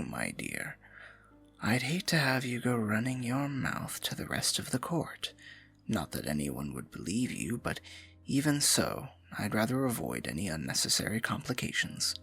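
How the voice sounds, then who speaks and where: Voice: sits low, creaky, fairly bright tone, slightly rough, heavily acted. An actor voicing a scheming evil royal advisor with magic, speaking in a silky, mocking, condescending way for an ASMR fantasy roleplay. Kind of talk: ASMR fantasy roleplay, intimate theatrical monologue.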